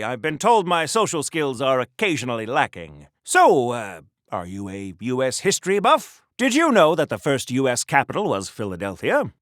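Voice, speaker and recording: An actor playing an earnest, socially awkward old-time superhero in a video game, chirpy and rambling, with quaint old-fashioned exclamations. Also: male voice, a little high, fairly bright tone, dry recording, clean studio sound. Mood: awkward, eager. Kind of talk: mid-century hero voice acting for a video game.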